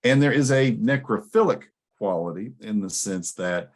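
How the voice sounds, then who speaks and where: A man presenting academic ideas in a measured, scholarly way, explaining concepts with small asides and check-in questions. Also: lowish voice, animated. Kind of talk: conference presentation, explanatory lecture.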